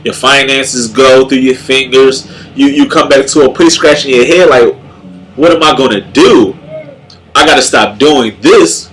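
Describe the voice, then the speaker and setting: A young man speaks slowly through a stuffed-up nose, and his voice comes out nasal. He talks in a loose, reflective way, often repeats words and starts his sentences over. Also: mid-range voice, fairly bright tone, low-quality recording. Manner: halting, many repeated words and restarts. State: congested, nasal. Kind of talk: casual spoken reflection on faith.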